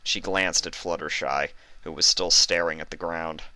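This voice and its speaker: A man's voice: low-pitched, steady tone, normal speed, bright, forceful tone, no room sound. A man reading fan fiction aloud as scripted narration.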